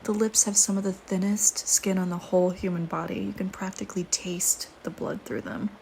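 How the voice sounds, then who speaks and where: A woman voicing a flirty, teasing, predatory female vampire in an intimate audio roleplay, with playful banter and breathy murmurs. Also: lowish voice, fairly even intonation, moderate pace, dark tone, a little hiss or hum. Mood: seductive, hungry.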